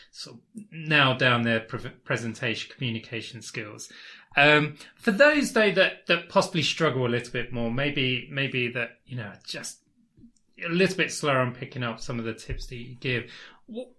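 Bright-voiced man hosting a podcast. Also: medium pitch. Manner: laughs often, stammers through questions. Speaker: podcast host. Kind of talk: casual interview.

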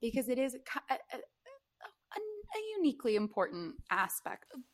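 A woman's voice: sits high, very animated, moderate pace, no echo. A woman co-hosting a podcast in casual chat, effusive and emphatic, often repeating herself. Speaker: podcast co-host. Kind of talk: casual, gushing film chat.

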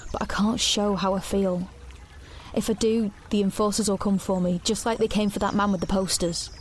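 A woman's voice: medium pitch, steady tone, moderate pace, slight background noise, natural voice. An actress playing an anxious, grieving teenage girl who narrates her own story in an intimate audio drama. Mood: grieving, fearful. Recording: studio recording over soft music.

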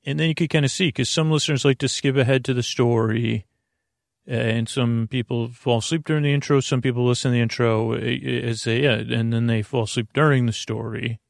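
A man hosting a bedtime podcast in a halting, meandering monologue with a creaky voice that drifts onto tangents. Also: mid-range voice, steady tone, dry recording, studio quality. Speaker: podcast host.

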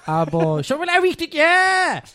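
A man's voice: very high-pitched, wildly swooping pitch, measured pace, pushed and bright, no echo. A man co-hosting a casual podcast, rambling and giggling, with a light Swabian touch to his German. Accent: light Swabian-tinged German. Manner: giggly, rambling, self-mocking. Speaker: podcast co-host.

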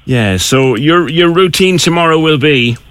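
A man's voice: on the high side, a little slow, a bit bright, smooth, no echo. A man hosting a casual radio interview, asking chatty, friendly questions. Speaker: radio host.

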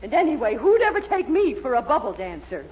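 Exasperated woman, dry and wry, very animated, vintage radio comedy, noisy recording before a crowd. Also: high-pitched, brisk, dull audio. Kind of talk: 1940s radio comedy acting.

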